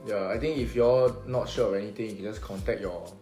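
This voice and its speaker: A man's voice: on the low side, quick pace, warm tone, roomy sound, soft background music. A young man with a Singaporean English accent co-hosting a casual chat, playful, restarting phrases and using particles like "lah" and "sia". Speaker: podcast co-host.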